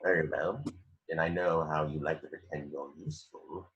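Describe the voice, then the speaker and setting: A man acts out a disdainful wizard professor in a fantasy script read. His voice sits very low, and his speech is formal, curt and condescending, cold through and through. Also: fairly even intonation, normal speed, a bit bright, dull audio.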